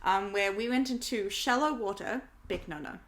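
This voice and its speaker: A young woman, co-hosting a casual podcast, agreeing with many quick yeahs and breaking into heated rants. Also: mid-range voice, fairly bright tone. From New Zealand. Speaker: podcast co-host. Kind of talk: casual chat.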